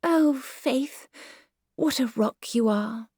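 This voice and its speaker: Female reader as genteel English Victorian mother: high, airy, fussy chatter, self-satisfied, audiobook, dry studio sound. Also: takes it slowly, a little mellow, slightly rough, no room sound, exaggerated voice. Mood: fond, weary. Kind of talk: dramatised audiobook character dialogue.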